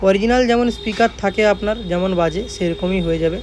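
A man's voice: sits high, fast talker, slight background noise. A man with a West Bengal accent giving casual vlog commentary as a workshop owner, repeating his points and often checking for agreement. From Jhargram, West Bengal, India. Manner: repeats points, often adds tag phrases seeking agreement. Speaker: speaker workshop owner presenting a video.

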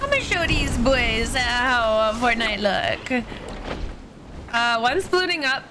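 Playful, high-pitched young woman streaming. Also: big pitch swings, takes it slowly, over music. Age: 20s. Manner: playful, teasing. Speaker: game streamer. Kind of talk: casual gameplay chat with teammates. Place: indoor home streaming room.

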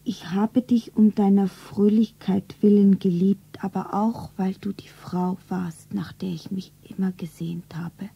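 An actress playing a grieving, tearful young shop girl in a 1970s radio drama, breathy, theatrical and often whispering. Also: mid-range voice, level tone, exaggerated voice. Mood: tearful, tender. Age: young adult. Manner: slow, theatrical, self-narrating. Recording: dull radio play recording.

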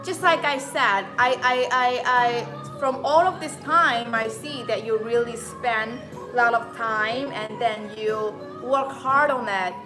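A woman's voice: fairly high pitch, takes it slowly, few pauses. Chatty woman with a Chinese accent, music behind. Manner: chatty, repeats words, exclamations. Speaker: live stream host selling beauty machines. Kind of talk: live stream chat reading viewer comments. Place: indoor showroom with beauty machines.

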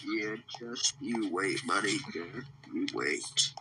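A man's voice: fairly low pitch, slow, background noise. A man improvising in-character roleplay in a game, with theatrical, teasing banter. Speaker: player in an online roleplay game.

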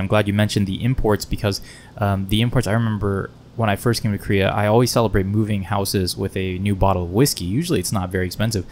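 A man hosting a casual podcast interview, speaking into a close microphone in a bar lounge. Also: darkish tone. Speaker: podcast host. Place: wood-panelled bar lounge, indoors. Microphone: close podcast microphone on stand. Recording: low rumble and faint room noise.

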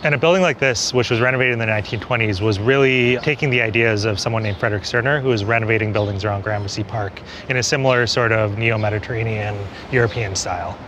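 A man's voice: on the low side, fast, few pauses. Man explaining buildings on a noisy street. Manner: explanatory, conversational, frequent "kind of" hedges. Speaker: architect. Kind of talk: on-camera walking tour commentary. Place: outdoors on a city street. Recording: city street noise behind.

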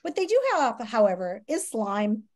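A woman in her fifties reading a children's nonfiction book aloud in a dramatic, teacherly way with emphatic pauses, on a video call from a room at home. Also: medium pitch, big pitch swings, darkish tone. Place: small home room, indoors. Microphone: video call, computer microphone.

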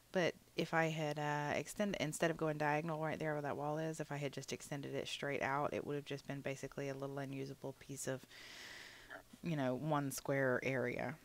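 A young woman with a low voice talks loosely over her gameplay, full of ums and side remarks. She sounds a little sniffly, as if her nose is stuffed. It is a home-recorded voiceover, relaxed and chatty. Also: moderate pace. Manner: rambling, chatty, many fillers. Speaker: gaming channel host. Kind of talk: casual voiceover commentary on a building game.

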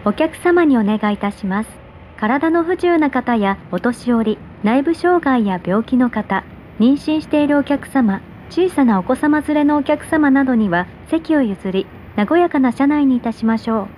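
A woman's voice: high voice, lively intonation, moderate pace. A woman making polite, formal scripted subway announcements, enunciating station names carefully. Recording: dull in-car speaker recording, loud train noise. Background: running subway train noise.

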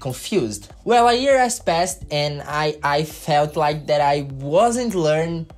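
A man's voice: on the high side, expressive, takes it slowly, soft background music. A young man from Brazil, speaking English with a Brazilian Portuguese accent as a second language, telling his story to camera in an earnest way, full of "like" fillers. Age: young adult. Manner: earnest, frequent "like" fillers, gestures with hands. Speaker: YouTuber. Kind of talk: personal story vlog to camera. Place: bedroom with colored lights.

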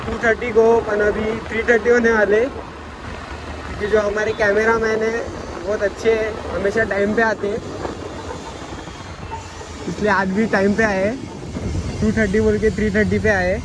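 A young man talks through a moto vlog in a high, light voice, rambling in a chatty way full of fillers. He is outdoors on a moving motorbike. Loud traffic and wind noise roar behind him from a phone stuck to his helmet. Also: little pitch movement, measured pace, long pauses. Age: young adult. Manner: chatty, filler-heavy, talking while riding. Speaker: vlogger. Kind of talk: casual moto vlog commentary. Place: outdoors on a motorbike on dusty roads, then a seaside spot.

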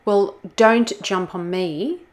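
Storybook casting: indignant small lady turtle, read by a female narrator, curt, slow delivery. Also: medium pitch, close to an everyday voice. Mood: grumpy. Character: small, grumpy female long-necked turtle. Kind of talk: storybook character voice in a read-aloud.

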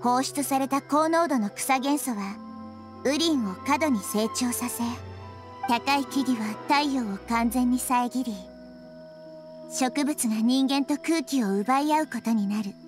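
A woman voicing a small, gentle, wise girl deity in an anime game, speaking with composed consideration. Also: high-pitched, unhurried, over music. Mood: grave, explaining. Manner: composed, considerate, feminine phrasing. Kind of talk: anime game dialogue, Japanese dub.

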